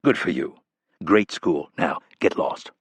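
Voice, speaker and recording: A man playing a tough, blunt male covert operative who talks low, curt and sardonic, in an audiobook. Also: animated, takes it slowly, husky, no room sound, heavily acted. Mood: dry, curt.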